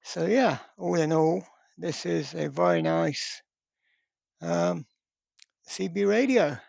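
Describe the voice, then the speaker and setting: A man's voice: fairly high pitch, slow pace, vocal fry, a little mellow, dry recording. A man giving a casual, rambling hands-on explanation as a video host, often hesitant as he goes. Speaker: host of a radio repair channel. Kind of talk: casual hands-on product talk.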